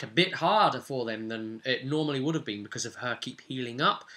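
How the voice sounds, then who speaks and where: A man hosting as game master, casually recounting a tabletop role-play session in an animated storytelling way, repeating words for emphasis. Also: medium pitch. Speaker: game master and vidcast host.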